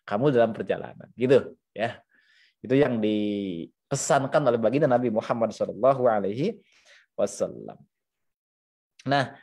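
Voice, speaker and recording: A man's voice: mid-range voice, a little mellow. A man with an Indonesian accent giving an Islamic lecture, reading Arabic phrases and explaining them in a didactic, conversational way with repeated phrases. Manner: didactic, repeats phrases, conversational asides with frequent checking tags. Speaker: Islamic teacher.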